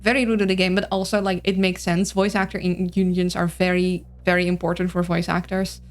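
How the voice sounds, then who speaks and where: Low-voiced Dutch woman streaming a game. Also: flat delivery, fairly quick. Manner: rambling, thinking aloud. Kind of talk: casual gameplay commentary. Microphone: streaming mic with voice filters. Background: game music.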